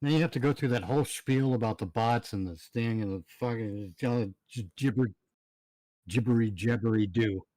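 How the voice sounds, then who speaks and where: A man's voice: medium pitch, normal speed, a little mellow, no room sound. Joking man in casual chat, dry sound. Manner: joking, quipping with pop culture references. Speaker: livestream panel member.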